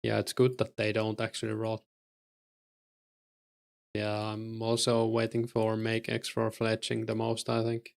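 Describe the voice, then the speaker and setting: A low-voiced man with a Finnish accent, speaking in a gravelly, monotone way. He chats casually with his stream's viewers while playing, answering them in a relaxed manner with plenty of fillers. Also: unhurried, pauses often. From Finland. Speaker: game streamer.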